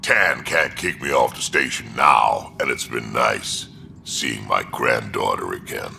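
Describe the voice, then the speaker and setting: An actor playing a gruff, hearty old Krogan alien in a video game, boisterous and blunt, with a deep gravelly voice. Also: male voice, a little slow, pushed and bright, slight background noise, full character voice. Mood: hearty, fond.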